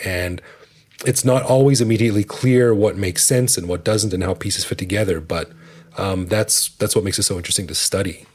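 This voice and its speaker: Male co-host, low and husky, chatty and jokey, casual banter. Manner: chatty, joking, laughs often. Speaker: podcast co-host. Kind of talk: casual podcast chat.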